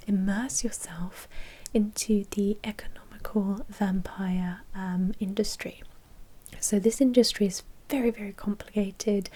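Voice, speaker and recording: A woman speaks in a hushed, breathy whisper with a mellow, dark tone. She is doing an ASMR roleplay as a courteous vampire manager, businesslike and gently matter-of-fact, with soft hesitations as she explains. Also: mid-range voice. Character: female vampire blood bank manager, polite and businesslike.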